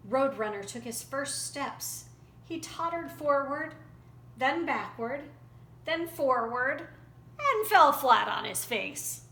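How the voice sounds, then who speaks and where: A woman storyteller narrating a folktale in an expressive, dramatic and deliberate way. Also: sits high. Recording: roomy echo, faint background noise.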